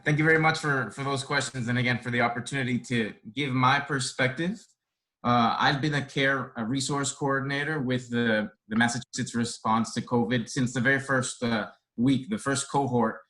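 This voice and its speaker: A man's voice: medium pitch, steady tone, moderate pace, bright, forceful tone, poor audio. A man from Boston whose first language is Spanish, a care resource coordinator on a video call. He answers a webinar panel question earnestly and reflectively, in long winding sentences. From Boston, United States.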